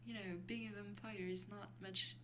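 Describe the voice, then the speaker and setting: A woman playing an affectionate young vampire girlfriend in an ASMR roleplay, speaking softly and intimately in a hesitant, breathy voice that often drops to a whisper. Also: medium pitch, fairly even intonation, close to an everyday voice. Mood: gentle, instructive. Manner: slow, hesitant, intimate, with small breathy laughs. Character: affectionate young female vampire girlfriend mentoring a newly turned lover. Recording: clearly noisy recording with hum.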